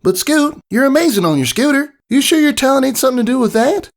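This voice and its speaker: A man's voice: very high-pitched, expressive, fast, voice pushed up. A man voicing an eager, friendly young country filly with an American Southern accent and a folksy way of exclaiming, as in a storybook reading. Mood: encouraging. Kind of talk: storybook character voice.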